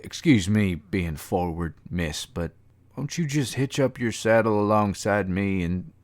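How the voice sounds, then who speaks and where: A man's voice: fairly low pitch, animated, a little slow, no echo. A man playing an earnest, humble cowboy suitor, folksy and courteous, in an audio drama. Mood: hopeful, bashful.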